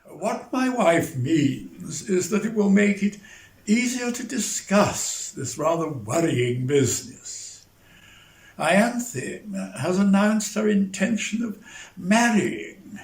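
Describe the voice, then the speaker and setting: A man's voice: high voice, big pitch swings, slow, creaky, exaggerated voice. A man playing a fussy, pompous older clergyman in a dramatized novel reading, speaking formally. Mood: genteel. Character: fussy, genteel older clergyman uncle who loves jelly. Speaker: amateur actor in dramatized reading. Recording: echoey room sound.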